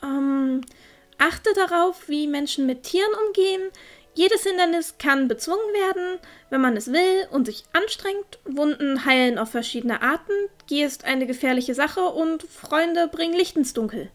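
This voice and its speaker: Very high-voiced earnest village girl played by a woman. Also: expressive, unhurried, faint music behind. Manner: eager, heartfelt, emotional. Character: earnest, affectionate teenage village girl leaving home. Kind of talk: fantasy audio drama acting.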